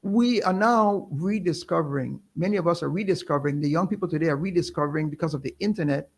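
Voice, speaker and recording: A middle-aged man, explaining things slowly and emphatically as an interview guest, often checking in with "right?". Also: on the high side, creaky. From Caribbean. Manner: deliberate, emphatic, explaining with pauses and tag questions. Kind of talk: conversational interview.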